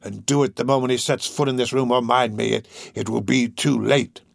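A man voicing a crafty old criminal in an audiobook, with a croaking, drawn-out, oily delivery. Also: mid-range voice, expressive, no echo, clean studio sound, heavily acted. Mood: furious. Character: crafty old male criminal, wheedling, then furious.

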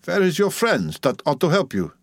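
Man acting a brusque, mercenary fat midwife, pitched up. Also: wildly swooping pitch, moderate pace, no room sound, heavily acted. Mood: scornful, hard. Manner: blunt, haggling, broken English. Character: hard-bargaining, enormously fat Dutch midwife woman, brusque and mercenary.

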